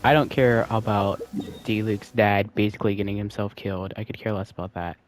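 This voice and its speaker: A man's voice: lowish voice, steady tone, fairly quick, background noise. A man giving casual reaction commentary as a game streamer.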